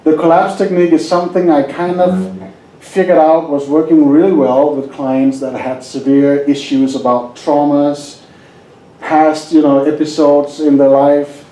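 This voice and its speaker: Danish-accented male seminar speaker, jokey and chatty, mellow tone, roomy echo. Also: fairly high pitch, fairly even intonation, a little slow. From Denmark. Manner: jokey, asks the audience questions. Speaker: hypnosis presenter. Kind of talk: live seminar talk.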